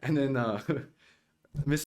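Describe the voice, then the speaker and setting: Young man, podcast guest, casual storyteller, laughs a lot, mid-pitched voice. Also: takes it slowly, a little mellow. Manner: laughs often while telling stories.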